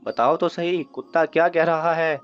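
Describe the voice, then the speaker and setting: A man's voice: fairly high pitch, fairly even intonation, clear, resonant tone, close to an everyday voice. A man voicing eager, admiring thieves who defer to their leader in a children's story. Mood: curious. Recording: muffled audio with music underneath.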